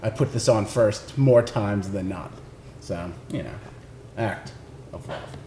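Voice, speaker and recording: A man chatting casually and unscripted about his picks, rambling and halting as he finds his words. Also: on the low side. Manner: halting, rambling, laid-back phrasing. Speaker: recording engineer presenting record picks. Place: indoors in a record shop. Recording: roomy echo, faint background noise.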